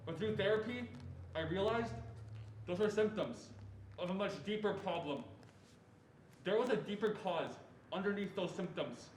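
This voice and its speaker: A young man performing as an adult man who earnestly recalls his fearful boyhood in a deliberate stage reading. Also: sits high, lively intonation. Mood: earnest, reflective. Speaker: student performer reading as narrator. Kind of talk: reader's theatre narration of a first-person memoir. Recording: echoey room with faint noise.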